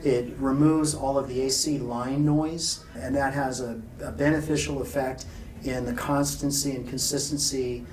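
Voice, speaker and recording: A man being interviewed at a trade show, explaining technical details in a measured, informal way. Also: medium pitch, fairly even intonation, a little mellow, recorded from a distance. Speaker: loudspeaker company founder being interviewed. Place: exhibit room at an audio show. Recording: off-mic, noisy exhibit room.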